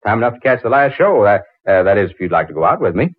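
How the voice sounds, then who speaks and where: A man's voice: lowish voice, sing-song, fast. An actor playing an earnest, awkwardly affectionate male inventor in a 1940s radio drama. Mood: bashful, relieved. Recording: tinny 1940s radio recording.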